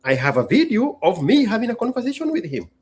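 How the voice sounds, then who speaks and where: Expressive husky man from Kenya. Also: sits high, fast talker. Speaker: host. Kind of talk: casual solo talk to an audience.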